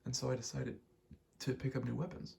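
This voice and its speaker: A man giving a rambling ASMR gaming commentary, halting and meandering as he thinks aloud while playing. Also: mid-range voice, little pitch movement, gravelly, mellow. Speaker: gaming video creator.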